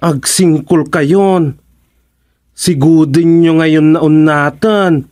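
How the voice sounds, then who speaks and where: A man with an Ilocano accent playing a bossy, unhurried masseur in a radio comedy drama, giving instructions with drawn-out interjections. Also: a little high, a little mellow. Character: bossy, unhurried masseur ordering a patient about.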